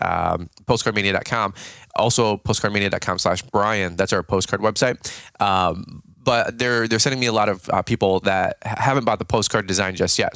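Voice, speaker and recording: A podcast host talking casually to his listeners, his voice a little raspy and tired. He sits on the low side of a man's range and rambles with fillers and restarts. The sound is dry, with no room echo. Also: fairly bright tone. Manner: chatty, with many fillers and restarts. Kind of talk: casual solo podcast talk.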